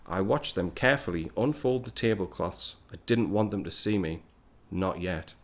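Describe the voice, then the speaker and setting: A man's voice: sits low, moderate pace. An actor playing a wistful young man home from the war, narrating an audio play as a storyteller. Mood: fond. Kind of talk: scripted first-person narration in an audio play.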